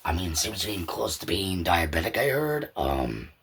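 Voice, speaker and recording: A man's voice: deep voice, sing-song, normal speed, a bit bright, breathy edge. A man hosting a solo podcast, talking informally and off the cuff in a rambling, repetitive way. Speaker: podcast host. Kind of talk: unscripted solo podcast talk.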